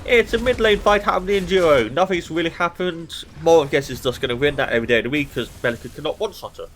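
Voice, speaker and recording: A man's voice: fairly high pitch, quick pace, a bit bright, slight background noise. A man with a blocked nose from hay fever, giving casual, analytical solo commentary on a recorded esports match. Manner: casual, analytical, thinking aloud.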